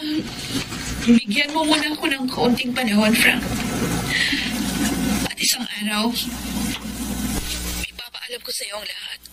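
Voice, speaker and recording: A woman playing a troubled, evasive young woman in a Filipino radio drama, speaking gently and hesitantly. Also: on the high side, lightly acted. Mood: hesitant, pleading. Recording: loud background noise.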